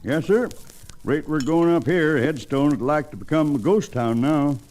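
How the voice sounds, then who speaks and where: An actor playing a glum, weary frontier sheriff in a 1940s radio Western, with hesitant, drawling talk. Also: male voice, fairly high pitch, normal speed, a little mellow, lightly acted. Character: weary, glum frontier sheriff who likes his whiskey.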